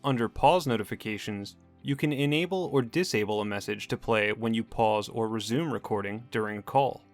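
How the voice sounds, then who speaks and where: A man reading scripted instructional narration in a measured, matter-of-fact corporate manner. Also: lowish voice. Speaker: tutorial narrator. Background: background music.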